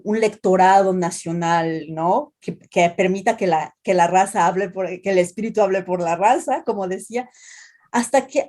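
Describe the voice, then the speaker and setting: Mexican lady prof chatting about translation, mellow, keeps restarting and tagging "no?". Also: medium pitch. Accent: Mexican Spanish. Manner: thoughtful, many restarts and tag questions. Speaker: translation professor, guest. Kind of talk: academic interview talk.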